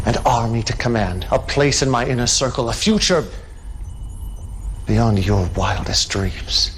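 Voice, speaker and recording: Casting: calculating cult commander for a game cutscene, grandiose and menacing, measured delivery, slight background noise. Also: male voice, medium pitch, lively intonation, a bit bright. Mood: bitter, grave. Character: calculating male cult leader and military commander, menacing zealot. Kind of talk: dramatic video game cutscene acting.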